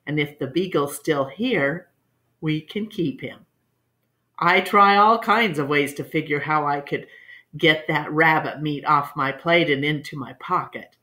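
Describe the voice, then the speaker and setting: Woman storyteller for children's read-aloud, lower-pitched, very unhurried delivery. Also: bright, forceful tone.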